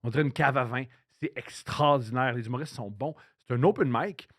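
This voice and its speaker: A male comedian with a Québécois French accent, excitedly telling an anecdote in a casual podcast chat. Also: mid-range voice, brisk, no echo, clean studio sound. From Quebec. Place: recording studio.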